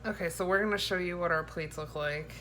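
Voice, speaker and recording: A woman's voice: lowish voice, fairly quick, a bit bright. A woman in her thirties chatting to the camera in a casual, playful, giggly way, recorded on a phone in a home kitchen. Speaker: vlogger, host. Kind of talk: casual chat to camera, storytelling. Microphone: phone at arm's length. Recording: faint background noise, home phone recording.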